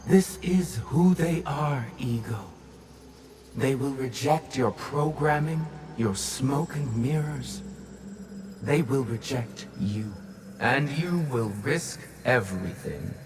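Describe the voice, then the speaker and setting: Game AI mentor: unhurried, enigmatic, gentle but resolute, medium pitch, faint noise behind. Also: male voice, lively intonation. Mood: defiant. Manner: measured, solemn, enigmatic. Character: calm, cryptic male artificial guide, gentle and resolute. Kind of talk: video game cutscene monologue.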